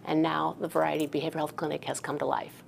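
A woman's voice: sits low, level tone, fast, vocal fry, a little hiss or hum. A woman, speaking earnestly as an executive being interviewed. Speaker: chief executive, interview guest. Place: indoor office room, television shoot.

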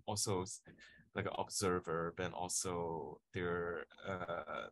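A man's voice: sits low, fairly even intonation, slow, a bit bright, a little hiss or hum. A man in his thirties moderating a panel over a video call from a home office, talking hesitantly with many fillers and restarts.

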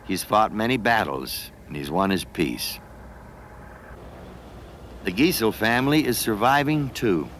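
A man's voice: fairly low pitch, lively intonation, unhurried, long pauses, fairly bright tone, a little hiss or hum. A man reading scripted narration as the narrator of a documentary.

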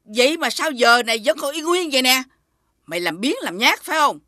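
Bright, high-voiced bossy food seller. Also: female voice, animated, a little fast, no echo, studio quality. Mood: furious scolding. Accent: Southern Vietnamese. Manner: scolding, switches to coaxing. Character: bossy, sharp-tempered woman food seller, scolding, sly. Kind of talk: animated folk tale voice acting.

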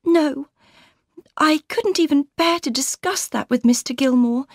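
A woman reading aloud and voicing a gentle, earnest young woman about to turn twenty-one, in a raised, pleading voice. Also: high voice, a little slow, no room sound, studio quality, pitched above the performer's own voice.

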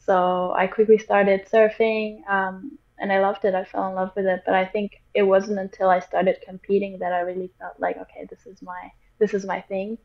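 Soft-spoken young woman on a dull call. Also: medium pitch, flat delivery, normal speed, creaky, hardly any pauses. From Portugal. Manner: soft-spoken, hesitant with many fillers. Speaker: guest, pro surfer. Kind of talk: casual interview over video call. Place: small room indoors. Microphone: laptop or webcam mic on a video call. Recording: dull, lo-fi video call audio.

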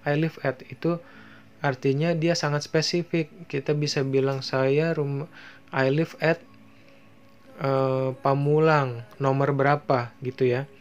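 Slow Indonesian-accented man teaching. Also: medium pitch, level tone, highs cut off, soft background music. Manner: slow, explains each phrase then translates it, with many fillers. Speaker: English teacher. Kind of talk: bilingual lesson over slides. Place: voice-over on a slideshow.

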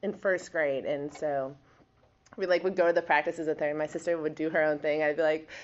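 A woman's voice: deep voice, fairly even intonation, fairly quick, lo-fi sound. A young woman in her twenties from Wisconsin, a college volleyball player answering interview questions in a chatty, giggly way with lots of fillers. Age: 20s.

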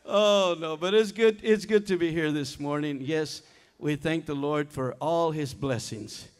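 An older man singing gospel and chatting casually from a church stage with a stand mic, his talk relaxed and full of fillers. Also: a little high, measured pace, smooth, in a large hall. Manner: relaxed, chatty, hesitant fillers between songs. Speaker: gospel singer and keyboardist. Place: large church sanctuary stage. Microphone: stand mic at keyboard. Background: keyboard music.

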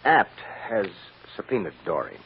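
A man's voice: fairly low pitch, big pitch swings. Dull old radio recording with faint hiss, shrewd male lawyer, emphatic, swooping intonation. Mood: grave. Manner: blunt, emphatic, deliberate. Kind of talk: old-time radio drama acting.

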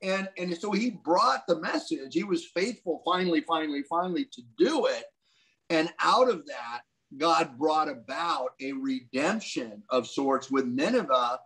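An older man talks from a room at home over a webcam. His voice sits fairly high, and he muses aloud, circling back and restarting as he thinks. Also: animated, unhurried, fairly bright tone, low-quality recording. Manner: thoughtful, musing, many restarts. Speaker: co-host discussing Bible prophecy. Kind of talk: casual video call discussion. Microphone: video call webcam.

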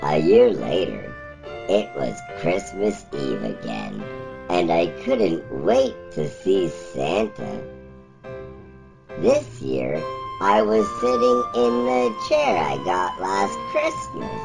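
A man voicing a small gentle alien who reads a children's storybook slowly and haltingly, croaky and breaking into squeaks, over instrumental music. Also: wildly swooping pitch, exaggerated voice, low-quality recording. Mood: halting storytelling. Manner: slow, halting, childlike storytelling with swooping pitch. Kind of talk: storybook reading in a character voice.

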